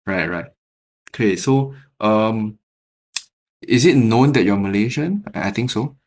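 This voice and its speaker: A man with a Singaporean English accent, hosting a casual interview chat in a relaxed, chatty way. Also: lowish voice, unhurried. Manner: relaxed, chatty, laughs easily, repeats words. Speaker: podcast host.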